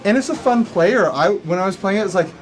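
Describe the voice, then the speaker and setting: A man's voice: high voice, expressive, quick pace, a little hiss or hum. A man guest in a casual chat, talking with playful enthusiasm. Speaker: guest YouTuber.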